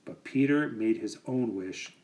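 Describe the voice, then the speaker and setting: A man reading a children's picture book aloud with expressive, dramatic phrasing. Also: on the low side, a little slow, poor audio.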